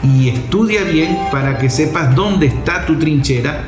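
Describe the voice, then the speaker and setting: A man with a fairly high voice speaks in a very roomy space. He gives political commentary as an impassioned monologue. His delivery is emphatic and blunt, built on repetition and pointed rhetorical questions. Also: animated, measured pace, music in the background. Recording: roomy, echoing sound.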